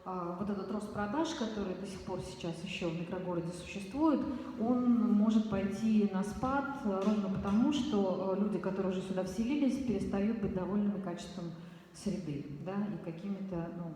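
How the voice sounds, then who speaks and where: A woman with a mellow voice interprets and explains, hesitating often and filling her pauses. She is heard in a large hall with a long, roomy echo and faint hiss. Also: medium pitch. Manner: hesitant, many fillers. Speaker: interpreter and organizer. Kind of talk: consecutive interpreting and commentary.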